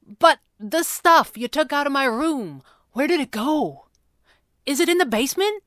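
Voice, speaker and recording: A woman voicing an upset young man in a story, sputtering and protesting loudly at his parents. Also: fairly high pitch, slow, no room sound, clean studio sound, voice pushed up. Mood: panicked. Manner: sputtering, loud, exclaiming.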